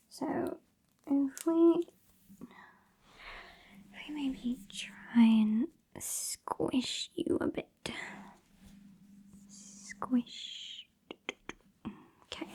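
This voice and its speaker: Female performer as haughty, teasing British vampire woman, ASMR roleplay. Soft whispery delivery, dark mellow tone, creaky edges, dry and bossy. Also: on the high side, heavily acted. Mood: bossy, weary. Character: sassy, bossy female vampire, over a century old.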